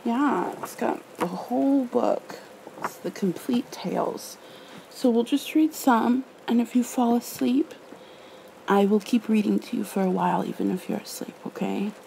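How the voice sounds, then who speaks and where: A woman speaking in a hushed, tender, nurturing way, as in an ASMR bedtime roleplay and reading. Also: fairly high pitch, unhurried, creaky, rough recording. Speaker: ASMR roleplayer, storybook reader. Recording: faint rain-like background noise.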